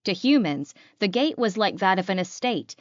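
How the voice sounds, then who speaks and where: A woman's voice: mid-range voice, very animated, moderate pace, no room sound, lo-fi sound. A woman reading a first-person fantasy romance audiobook as scripted narration.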